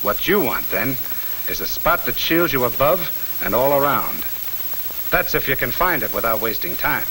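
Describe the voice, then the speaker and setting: A man's voice: mid-range voice, big pitch swings, normal speed, sharp tone, poor audio. A man narrating a mid-century instructional film in a blunt, punchy, slangy style, talking straight to the listener. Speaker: military training film narrator. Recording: old film soundtrack, faint hiss.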